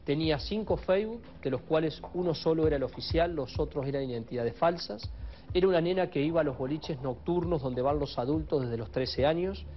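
A man's voice: on the high side, lo-fi sound, a little hiss or hum. A man with an Argentine accent delivering a serious, emphatic monologue as a commentator.

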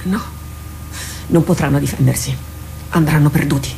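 An intense war captain, noisy recording. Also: female voice, low voice, normal speed, gravelly. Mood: grave, determined. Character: driven woman war captain, obsessively plotting battle. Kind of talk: theatrical radio drama acting.

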